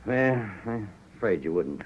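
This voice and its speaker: An actor playing a firm, middle-aged American ranch owner reasoning in a measured, articulate way in a 1940s radio Western. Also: male voice, fairly low pitch, sing-song, husky, heavily acted. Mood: persuasive. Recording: muffled vintage 1940s radio recording.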